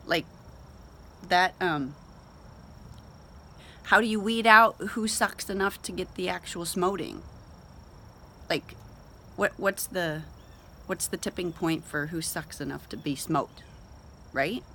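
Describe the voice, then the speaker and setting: A woman's voice: fairly low pitch, slow, long pauses, pushed and bright, slight background noise. A woman giving an informal devotional talk in a conversational, emphatic way, as host of a Bible reading show. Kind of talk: casual devotional talk with scripture read aloud.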